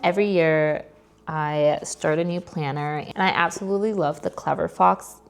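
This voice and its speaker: A woman's voice: low-pitched, fairly even intonation, normal speed, vocal fry. A woman, chatting casually to camera as a vlogger sharing personal updates. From New York. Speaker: vlogger, content creator.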